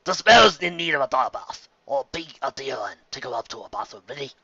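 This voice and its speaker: Man putting on a gruff, folksy old stallion barkeep. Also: sing-song, a little fast, sharp tone, muffled recording, full character voice. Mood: friendly, folksy. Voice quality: deep, brassy voice. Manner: folksy, hospitable. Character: corpulent old stallion bartender, gruff but welcoming.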